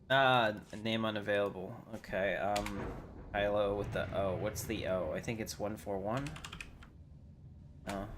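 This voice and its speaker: A man hosting a casual gameplay livestream, thinking aloud informally as he plays and chats to viewers. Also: fairly low pitch. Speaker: livestreamer, game player and channel host. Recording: faint noise, keyboard typing.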